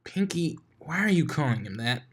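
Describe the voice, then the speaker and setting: Give me a man playing a raspy, high-voiced, bickering tomboy mare. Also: very animated, normal speed. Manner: raspy, argumentative.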